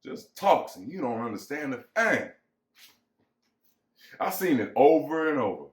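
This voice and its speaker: A man's voice: mid-range voice, very animated, unhurried. A man acting as bitter, lonely American ranch hand, echoey. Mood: musing, pressing. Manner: folksy rural vernacular, musing and pressing. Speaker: actor. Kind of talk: dramatic reading of a novel. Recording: echoey room.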